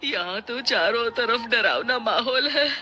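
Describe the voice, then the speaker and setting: A woman's voice: a little high, lively intonation, a little slow, sharp tone, a little hiss or hum. A woman voicing a frightened, hapless village farmer man in a cartoon story, with a whining, quavering delivery. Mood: dismayed. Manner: whiny, quavering lamenting. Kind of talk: cartoon moral story voice acting.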